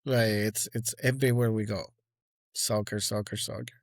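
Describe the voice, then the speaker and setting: A man's voice: lowish voice, steady tone, moderate pace, no room sound, studio quality. A man in his forties with an Argentine accent, a guest speaking in a casual interview with many fillers and restarts. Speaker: guest collector.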